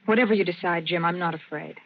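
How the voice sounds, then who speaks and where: Casting: actress playing steady, compassionate female hostage, plain-spoken, on muffled old radio. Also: lowish voice, fast, lightly acted. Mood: calm, earnest. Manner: plain-spoken, gently probing. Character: calm, sympathetic female captive confiding in the doctor. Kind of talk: old-time radio drama acting. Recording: muffled, hissy vintage radio recording.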